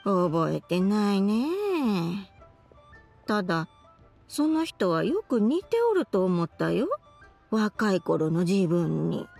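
A woman's voice: mid-range voice, very animated, takes it slowly, creaky, darkish tone, slightly rough, faint music behind, full character voice. A woman voicing a forgetful, dreamy old grandmother in a Japanese fantasy game, rambling in old-fashioned elderly phrasing. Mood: dreamy, absent. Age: older. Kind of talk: Japanese anime game voice acting.

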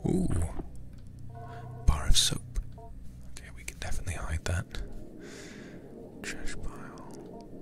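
A man streaming a game as ASMR, reading aloud and chatting softly in an intimate, soothing, breathy way, close to the microphone. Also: slow, pauses often. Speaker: livestreamer playing horror games.

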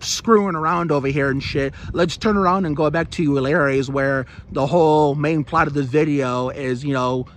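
A young man chatting casually and excitably, swearing freely, into a phone at arm's length while vlogging outside. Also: high-pitched. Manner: excitable, profanity-laden. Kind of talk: casual self-filmed vlog chat. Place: outdoors on a suburban street. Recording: outdoor street noise, passing vehicles.

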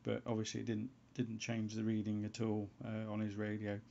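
Man, hobbyist tutorial voice-over, very even pitch, gravelly fry, hesitant, unscripted, lo-fi muffled sound. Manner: hesitant, halting, thinking aloud. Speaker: hobbyist presenter of a homebrew antenna tutorial. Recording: dull, lo-fi sound.

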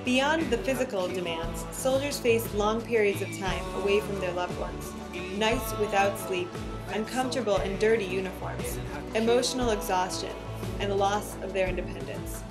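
A woman's voice: mid-range voice, moderate pace, loud music behind the voice. Earnest woman narrating a documentary.

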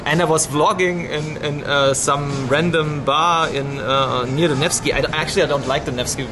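Thirties German vlogger, rambling chat on a handheld camera, music playing around him. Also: male voice, on the high side, fairly even intonation, moderate pace. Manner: rambling, many restarts and fillers. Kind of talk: casual chat. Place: busy pub, indoors. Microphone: action camera held at arm's length. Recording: loud background music. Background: pub music.

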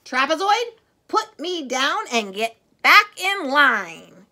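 A woman reads as a commanding storybook triangle running auditions. The voice is bright and high, firm in its orders, with lively pitch. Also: takes it slowly, full character voice. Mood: bossy. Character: bossy triangle director of a space show.

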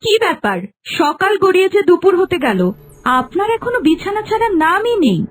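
A woman playing a cruel, bossy young daughter-in-law in a cartoon folk tale, scolding with sharp sarcasm. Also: very high voice, very animated, fairly quick.

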